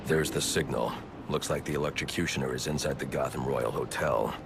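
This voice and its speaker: An actor playing a grim, stubborn masked vigilante in a video game, terse and commanding, with a low growl. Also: male voice, a little fast, vocal fry, background noise. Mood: determined. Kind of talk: cinematic video game acting.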